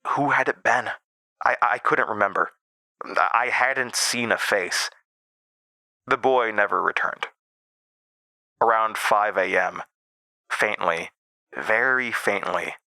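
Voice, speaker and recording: An actor playing a haunted man who recounts frightening childhood memories in halting, uneasy phrases for a horror audio drama. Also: fairly low pitch, bright, forceful tone, dry recording, full character voice. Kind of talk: first-person horror audio drama narration.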